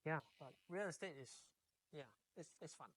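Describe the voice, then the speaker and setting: A man's voice: a little high, moderate pace. A middle-aged man with a Singaporean accent, talking casually as an interview guest. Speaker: podcast guest, real estate agent.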